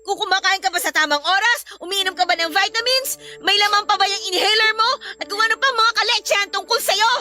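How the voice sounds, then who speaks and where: High, bright, snappish elder sister. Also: female voice, brisk, few pauses, soft background music, full character voice. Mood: angry, bitter. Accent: Filipino. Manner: snappish, exasperated, blunt. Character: resentful, irritable young elder sister. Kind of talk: Filipino radio drama acting.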